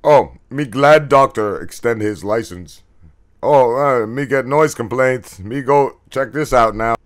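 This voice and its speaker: A man's voice: mid-range voice, a little slow, a little hiss or hum, natural voice. A man voicing a simple-minded, cheerful ape police officer who speaks in broken caveman grammar, in an adult comedy cartoon. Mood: dim, cheerful.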